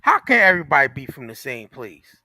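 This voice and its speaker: A man's voice: medium pitch, wildly swooping pitch, a little fast, bright, forceful tone, dry recording. An American man co-hosting a casual talk show, speaking in an emphatic, repetitive, slangy and profane way. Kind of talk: casual opinionated chat.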